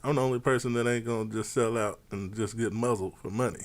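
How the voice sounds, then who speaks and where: A man's voice: on the low side, steady tone, fast, a little hiss or hum. A man hosting a casual sports talk show, brash and boastful, swearing freely as he talks.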